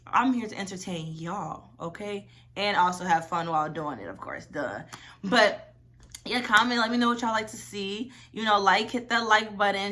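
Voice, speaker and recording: A woman's voice: medium pitch, pushed and bright. A woman of twenty-one, chatty and rambling, answering questions in a casual vlog. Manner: chatty, rambling, lots of "y'all" and "like". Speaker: vlogger.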